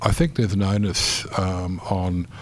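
Deep-voiced architect, halting and measured. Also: male voice, steady tone, a little hiss or hum. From New Zealand. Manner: measured, many ums and restarts. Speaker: architect guest. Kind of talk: interview answers.

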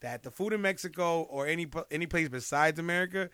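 A man's voice: fairly high pitch, fast talker, a bit bright, clear, resonant tone, no echo. A young man co-hosting a podcast in casual, slangy, joking banter. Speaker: podcast co-host. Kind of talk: casual chat.

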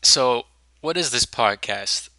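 A young man, a law student, introducing himself on a podcast in an earnest, measured and articulate way. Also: mid-range voice, lively intonation, pushed and bright, no echo, rough recording.

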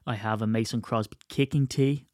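A man's voice: mid-range voice, fairly even intonation, fairly quick, a little mellow, no room sound, studio quality. A man hosting his own podcast, stuffy from a cold, rambling and poking fun at himself. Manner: rambling, self-mocking, chatty. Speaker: podcast host. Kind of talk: casual solo podcast chat.

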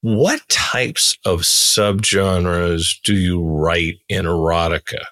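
A man hosting a casual conversational interview, speaking in a somewhat halting way and letting thoughts trail. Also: deep voice, sharp tone, dry recording, clean studio sound. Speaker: podcast host, interviewer.

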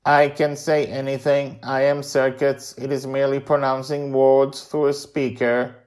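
An earnest robot companion acted by a male storyteller, held at a raised pitch. It speaks formally and precisely, gentle but stiff, in measured story dialogue. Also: little pitch movement, natural voice. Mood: matter-of-fact.

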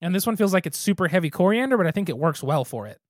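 A man's voice: high voice, sing-song, very fast, no echo. Laid-back guy cohosting a podcast, rambles a bit with restarts, dead dry close mic, nothing in the room. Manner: easygoing, thinks aloud with restarts. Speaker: podcast co-host. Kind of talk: casual chat reviewing beer.

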